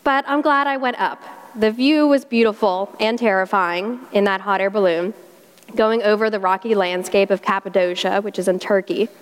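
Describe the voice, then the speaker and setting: A woman with a South Carolina accent delivers a sermon in a folksy, conversational way, with homey Southern turns of phrase. Her voice sits at a middle pitch for a woman. A long reverb trails each phrase, as if she is speaking in a church sanctuary. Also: normal speed, smooth. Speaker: preacher.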